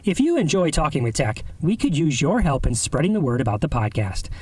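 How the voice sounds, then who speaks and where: A man's voice: fairly high pitch, big pitch swings, fairly quick, a little mellow, faint music behind. A man reading a scripted, friendly appeal for podcast reviews. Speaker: podcast announcer. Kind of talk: read promo spot.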